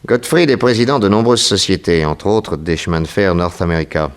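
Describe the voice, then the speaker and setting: Radio drama role: keen male sleuth, deep voice, old-fashioned theatrical delivery. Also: fairly quick. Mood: intrigued, explanatory. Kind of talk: 1960s French radio drama acting.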